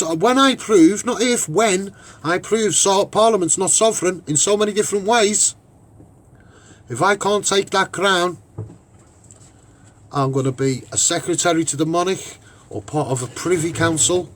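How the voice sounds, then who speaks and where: A man with a Scouse accent and a husky voice giving an off-the-cuff, opinionated monologue, emphatic and rambling, often repeating his phrases. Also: sits high, unhurried. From Liverpool, England. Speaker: online broadcaster and activist.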